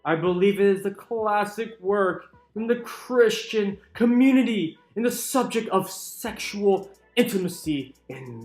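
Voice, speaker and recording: A man giving a dramatic, emphatic reading of a book, with deliberate pauses. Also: sits high. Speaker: channel narrator reading a book aloud. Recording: roomy echo with music underneath. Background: soft chill music.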